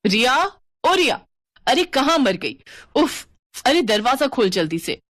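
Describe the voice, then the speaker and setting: A woman's voice: high-pitched, big pitch swings, measured pace, fairly bright tone, dry recording, studio quality, voice pushed up, exaggerated voice. A woman voicing an irritable, haughty young sister-in-law in a family story, snappy, sarcastic and exasperated. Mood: angry. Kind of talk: Hindi storytelling drama.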